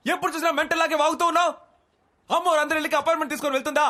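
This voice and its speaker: A fast-talking young reporter. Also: male voice, very high voice, steady tone, sharp tone. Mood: yelling. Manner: rapid news delivery, then harsh scolding. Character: young reporter son, bitter and short-tempered. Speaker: TV news reporter and son. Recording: heavy film score behind the voice.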